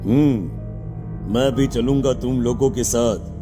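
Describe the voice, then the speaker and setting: Village headman for horror cartoon, male actor: stern, brooding, commanding, very unhurried, music bed beneath. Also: mid-range voice, natural voice. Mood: grimly resolved. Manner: commanding, deliberate, with pondering hums. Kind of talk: animated horror story voice acting.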